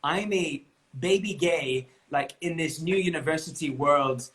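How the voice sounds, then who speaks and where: Brief: young English guy, chatty and laughing, bright voice sitting a little high. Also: measured pace. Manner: chatty, laughs often, restarts a lot. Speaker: interview guest, bagpipe player. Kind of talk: casual chat.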